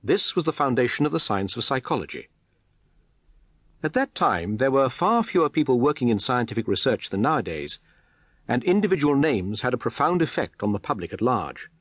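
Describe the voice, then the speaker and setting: Husky male narrator, animated intonation. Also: mid-range voice, brisk, dry recording, clean studio sound. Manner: formal, articulate, explanatory. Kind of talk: scripted documentary biography narration.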